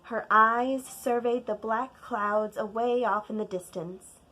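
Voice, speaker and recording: A woman narrating a children's storybook aloud like a teacher, deliberate and expressive, leaning on key words for drama. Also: fairly high pitch, rough recording. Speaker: teacher reading a picture book aloud.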